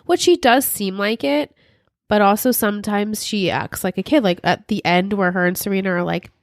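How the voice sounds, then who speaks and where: A woman's voice: mid-range voice, moderate pace, no room sound, studio quality. A woman co-hosting a podcast, chatting casually while recapping a TV show, her voice worn and congested from a sinus infection, acting out characters' lines as she goes. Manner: animated, acts out characters' lines in quotes. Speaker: podcast co-host.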